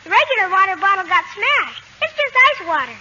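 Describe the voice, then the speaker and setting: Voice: very high-pitched, sing-song, fairly quick, bright, forceful tone, heavily acted. A performer playing a plaintive, anxious young boy in a 1940s radio comedy. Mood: sheepish, earnest. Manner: childlike, plaintive. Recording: dull, noisy old radio recording.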